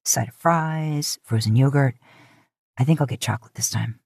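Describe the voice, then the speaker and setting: A woman's voice: very deep voice, moderate pace, creaky, darkish tone, breathy edge, no echo, studio quality, full character voice. A woman voicing a casual, firm, protective young man in an audio fan fiction story. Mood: casual, considerate. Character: gruff, earnest young man, protective and teasing.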